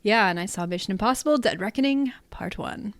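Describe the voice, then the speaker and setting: A woman's voice: medium pitch, expressive, fairly quick, no room sound. A woman co-hosting a film podcast, chatting casually about movies, with lots of fillers and laughs. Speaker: podcast co-host.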